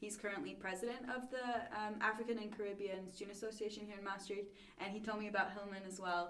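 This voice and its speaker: Woman, racing speech, soft light tone, roomy distant sound. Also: medium pitch, fairly even intonation, very fast, a little hiss or hum. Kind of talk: casual podcast chat. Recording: roomy, from far off.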